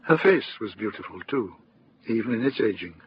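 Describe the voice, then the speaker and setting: A man narrating a radio drama as a calm, literary storyteller, unhurried and lyrical. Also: on the low side, lo-fi sound, a little hiss or hum, natural voice.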